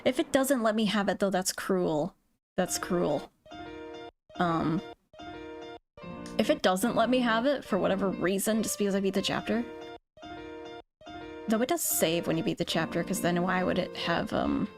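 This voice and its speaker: A woman's voice: mid-range voice, normal speed, pauses often. Woman streamer, scratchy strained voice, blunt and swears a lot, game music underneath. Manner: blunt, frequent swearing, rambling. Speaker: gaming streamer. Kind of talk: casual gameplay commentary.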